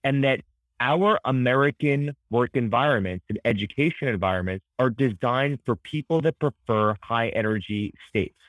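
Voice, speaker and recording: A man speaking as an expert podcast guest, explaining ideas conversationally in an energetic, emphatic and articulate way. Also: mid-range voice, normal speed, dry recording, low-quality recording. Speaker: podcast guest, author and psychology educator.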